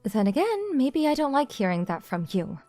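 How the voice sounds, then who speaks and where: A woman's voice: high-pitched, big pitch swings, moderate pace, mellow, faint music behind. A young woman playing a confident, pushy female CEO who teases her junior in a manga dub romance. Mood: tipsy, sulky. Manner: straightforward, teasing, self-assured.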